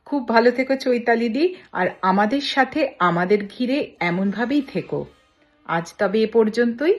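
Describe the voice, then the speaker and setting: A woman's voice: a little high, lively intonation, a little slow. Bengali woman host with faint music. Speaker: host, interviewer. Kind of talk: introduction and interview questions.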